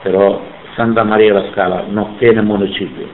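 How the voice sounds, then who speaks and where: A man's voice: fairly low pitch, steady tone, brisk, roomy sound, phone-quality sound, slight background noise. A man in his seventies from Sicily, speaking Spanish with an Italian accent in an oral history interview, emphatic and indignant. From Sicily, Italy. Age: 70s.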